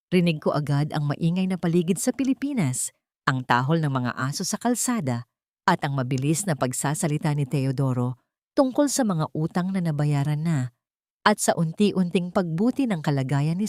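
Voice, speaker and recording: Low-voiced woman narrating a dramatic story. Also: darkish tone, no room sound, clean studio sound. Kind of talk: dramatic first-person scripted narration of a romance confession story.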